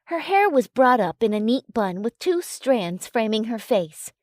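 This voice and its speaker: A woman narrates a romance story in a high voice. Her pitch moves in lively sweeps as she reads the scripted text, and the recording is dry with almost no room sound. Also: unhurried, no room sound.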